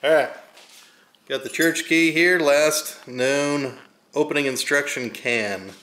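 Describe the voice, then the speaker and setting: A man's voice: fairly high pitch, slow, bright, forceful tone. A man behind the camera, teasing and needling in casual banter in a home video. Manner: joking, needling. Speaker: camera operator. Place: open living room and kitchen at home. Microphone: behind the handheld camera.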